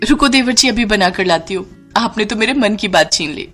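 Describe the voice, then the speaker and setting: A woman's voice: medium pitch, expressive, brisk, a bit bright, faint music behind, close to an everyday voice. A woman voicing a girlish, eager and dutiful young bride in a story. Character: cheerful, girlish young bride who loves rain.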